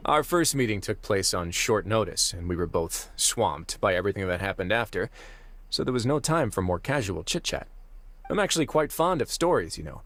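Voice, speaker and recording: An actor playing a composed, wry, authoritative prison warden in a video game, speaking in measured, articulate phrases over music. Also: male voice, lowish voice, big pitch swings, fairly bright tone. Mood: candid. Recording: music behind the voice.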